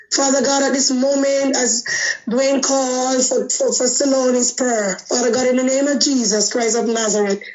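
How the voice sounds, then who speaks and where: An older woman, hoarse from flu, leading a fervent spoken prayer over a phone call. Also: sits high, a little mellow. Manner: fervent, rhythmic prayer full of "oh God" refrains.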